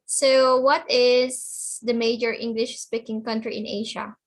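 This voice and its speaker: Higher-voiced woman teaching online. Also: level tone, measured pace. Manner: simple phrasing, repeats and checks understanding. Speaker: English teacher. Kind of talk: online lesson, explaining words and questioning students.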